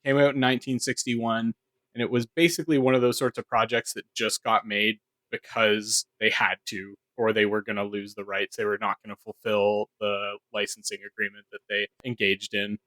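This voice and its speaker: A man's voice: fairly low pitch, level tone, moderate pace, a bit bright, no echo, rough recording. A man co-hosting a podcast, explaining things informally and in detail in a casual discussion. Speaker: podcast co-host and producer.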